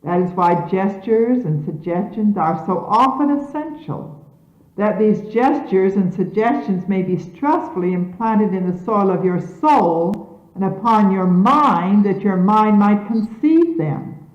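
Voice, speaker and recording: A woman reading scripture as a solemn sermon in measured, emphatic, formal diction, on an archival recording from the 1970s. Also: medium pitch, expressive. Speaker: religious leader reading scripture aloud. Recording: phone-like, echoey 1970s archival tape.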